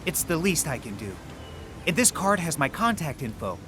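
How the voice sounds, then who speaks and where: Earnest, loyal young searcher, animated and a touch high, over a music bed. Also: male voice, normal speed, noisy background. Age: young adult. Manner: earnest and emotional, rising to pleading. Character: earnest young American raised in China, loyal and emotional. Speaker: American searching for a friend. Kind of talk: audio drama acting. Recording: music playing underneath.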